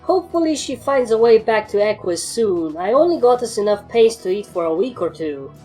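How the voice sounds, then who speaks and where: A woman's voice: on the high side, very animated, moderate pace, mellow, faint music behind. A woman voicing an assertive, sarcastic female pony adventurer in a fan fiction story, with a dry, bossy manner. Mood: mildly concerned.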